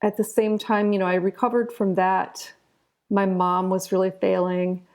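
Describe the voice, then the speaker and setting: Interview guest: American woman, forties, gravelly fry in the voice, informal and candid storyteller. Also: medium pitch, flat delivery, moderate pace, darkish tone. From southern Ohio, United States. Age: 40s. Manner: informal, candid, often adds "you know". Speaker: interview guest, retired physician turned author. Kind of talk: conversational personal storytelling.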